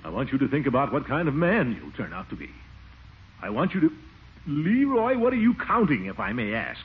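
Pompous, blustering American uncle, hugely swooping delivery, vintage radio comedy, dull hissy recording. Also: male voice, medium pitch, huge pitch swings, brisk, slightly rough, heavily acted. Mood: stern. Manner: pompous, flustered, chuckling bluster. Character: pompous, blustering middle-aged uncle, easily exasperated. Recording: muffled, hissy old radio broadcast.

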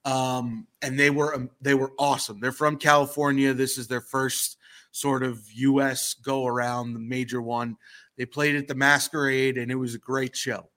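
A slightly hoarse man hosting a sports radio show, talking casually and emphatically. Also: mid-range voice, little pitch movement, moderate pace, sharp tone. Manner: emphatic, opinionated. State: a little hoarse.

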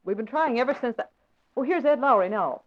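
A woman's voice: fairly high pitch, expressive, brisk, lo-fi sound, close to an everyday voice. Woman playing an inquisitive radio secretary. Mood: curious. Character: young female secretary, attentive and quick. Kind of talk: 1940s radio acting.

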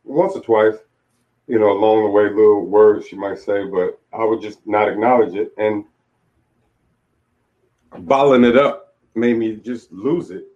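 Low-voiced man in his late forties, muffled audio. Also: fairly even intonation, a little slow, long pauses. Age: late 40s. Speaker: interview guest, author. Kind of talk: candid personal storytelling. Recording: dull, lo-fi audio.

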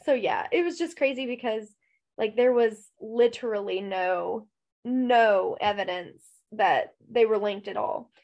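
A woman's voice: on the high side, measured pace, darkish tone, muffled recording. A woman co-hosting a true-crime podcast, chatting casually with a stuffy nose, rambling with lots of fillers and laughs. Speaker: podcast co-host.

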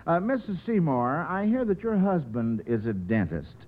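An American man hosting a radio quiz show, ad-libbing haltingly with plenty of hems and haws. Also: fairly high pitch, big pitch swings. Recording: old radio broadcast, narrow band, faint noise.

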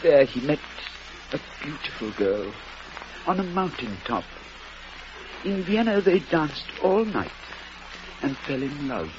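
A man's fairly high, widely swinging voice a devoted, gentle schoolmaster in a vintage radio play. He is earnest, formal and halting, full of feeling. The old recording is dull and noisy. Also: very animated. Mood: holding back grief. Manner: earnest, halting, emotional delivery. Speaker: actor. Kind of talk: old-time radio drama acting.

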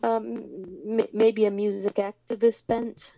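A woman's voice: a little high, level tone, measured pace, gravelly, dark tone, dull audio. A woman raised in the United States, a musician guest in a remote interview, talking hesitantly with many fillers and restarts.

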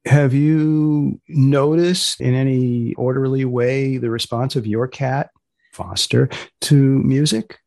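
A man hosting a podcast, asking questions and making announcements in a deadpan, dryly deliberate manner. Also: medium pitch, vocal fry, dry recording. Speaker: podcast host and interviewer. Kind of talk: podcast interview and scripted announcements.

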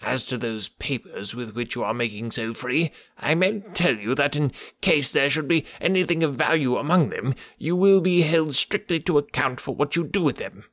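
A man voicing a querulous, twitchy, stingy little old man with a sharp crackling voice in an audiobook. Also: animated, moderate pace, hardly any pauses, dry recording, clean studio sound. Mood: shrill scolding. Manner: shrill, scolding, demanding. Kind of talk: audiobook character voice.

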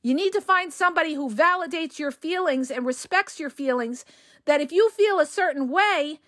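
A woman relationship coach, hoarse from a cold, giving direct, emphatic advice in a casual podcast monologue and often checking in with the listener. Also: sits high, moderate pace, a bit bright, dry recording, studio quality.

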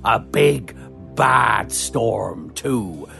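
A gravelly, slow-talking old sea-dog of a diesel engine spins tall tales, as a male narrator puts him on. His voice swoops down low on the ominous parts. It's a storybook reading. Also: expressive, sharp tone, faint music behind, full character voice. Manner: gravelly seafaring yarn-spinner.

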